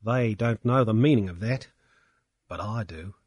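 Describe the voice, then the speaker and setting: Man doing a sobbing drunk young gentleman. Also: sits high, expressive, normal speed, no echo, pitched above the performer's own voice. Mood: suspicious. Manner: blubbering, sobbing and whining. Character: weepy drunken young gentleman, self-pitying.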